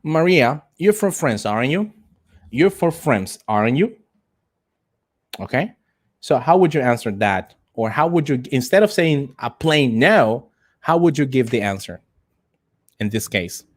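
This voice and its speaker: A man teaches English to call center trainees in a live online class. He repeats his phrases and leans slowly and deliberately on the example sentences. His delivery is that of a teacher prompting learners to respond. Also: medium pitch, big pitch swings.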